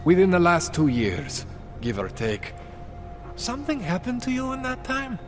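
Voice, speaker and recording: Game role: slick, jittery male underground tech. Swooping, animated pitch, wheedling, music behind. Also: normal speed. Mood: uneasy. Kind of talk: video game cutscene acting.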